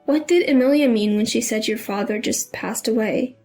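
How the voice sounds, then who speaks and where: Woman narrator as a high-voiced, questioning mother. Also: normal speed, a little mellow, soft background music, natural voice. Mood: pressing. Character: worried mother, puzzled and probing. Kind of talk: dramatised story dialogue.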